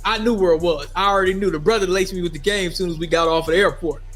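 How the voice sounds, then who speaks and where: A middle-aged man from Minnesota telling a personal story in a casual, animated way, repeating phrases for emphasis. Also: high-pitched, racing, soft background music. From United States, Minnesota. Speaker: storyteller vlogger.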